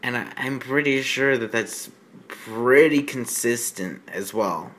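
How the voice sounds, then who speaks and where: Male fan fiction critic, mid-range voice, unhurried. Incredulous and exasperated, halting off-the-cuff delivery with stammered repeats. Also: mid-range voice, a bit bright. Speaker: host of a fan fiction reading show. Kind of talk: mocking read-aloud with off-the-cuff commentary.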